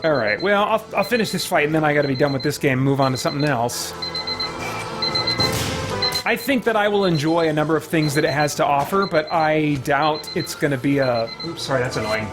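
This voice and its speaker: A man's voice: on the high side, a little fast, over loud music. A man hosting a live game stream in casual chat, rambling and thinking aloud.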